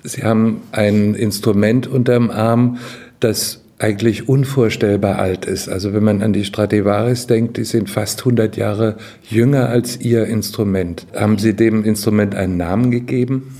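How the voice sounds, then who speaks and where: A man's voice: on the low side, fairly even intonation, normal speed, warm tone. A man interviewing a musician, asking questions and summing up her answers. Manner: prompting, summing up guest's answers. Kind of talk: radio interview.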